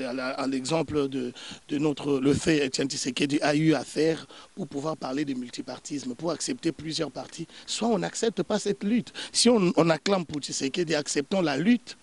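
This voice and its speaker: Earnest man stammering through repeated words. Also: fairly high pitch. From Democratic Republic of the Congo. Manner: earnest, repeats words while searching for phrasing. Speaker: political analyst guest. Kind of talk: interview answers. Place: sunny garden outdoors. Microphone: handheld mic held by interviewer.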